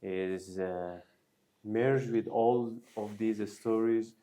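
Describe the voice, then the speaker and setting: Slow, hesitant man with a Persian accent. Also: on the low side, fairly even intonation, warm tone. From Iran. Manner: slow, hesitant, with many pauses and fillers. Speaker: co-host. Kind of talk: casual chat.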